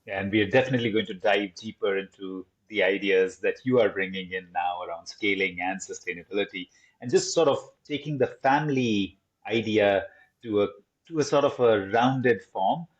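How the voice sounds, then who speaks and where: A man's voice: lowish voice, normal speed. A man hosting a podcast, asking long, winding interview questions. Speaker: podcast host. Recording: dull lo-fi audio.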